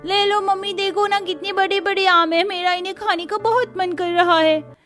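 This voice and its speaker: A woman's voice: extremely high pitch, fairly even intonation, normal speed, fairly bright tone. A woman voicing a whiny little girl who craves mangoes, pleading and insistent, in a cartoon dub. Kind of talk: cartoon dubbing.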